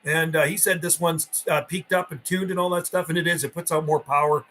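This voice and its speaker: A man's voice: on the high side, fairly even intonation, brisk, bright, forceful tone. A man hosting a CB radio hobby livestream, chatting casually in a rambling, folksy way and making radio calls.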